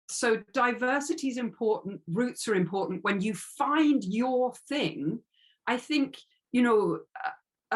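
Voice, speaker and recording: A woman answering reflectively in an interview, feeling her way through her thoughts and often repeating words. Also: mid-range voice. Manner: halting, searching, repeats words. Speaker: interviewed author.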